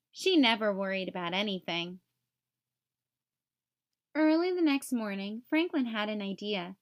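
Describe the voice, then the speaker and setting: A woman reading a picture book aloud to young children, with expressive, simple storytelling. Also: medium pitch.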